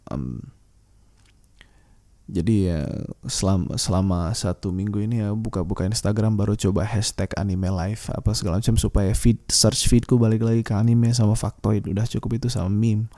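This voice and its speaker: A man's voice: fairly low pitch, steady tone, normal speed, mellow. A man hosting a solo podcast, talking in a casual, slangy, rambling way and thinking aloud with hesitations.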